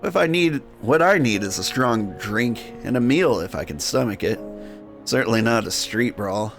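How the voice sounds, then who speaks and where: A man's voice: medium pitch, lively intonation, normal speed, a bit bright. Male player as brooding minotaur antihero, wry and tired, narrating a Victorian game over music. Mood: resigned, brooding. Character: world-weary male Victorian minotaur, wry, struggling with vices. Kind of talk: first-person game narration in character.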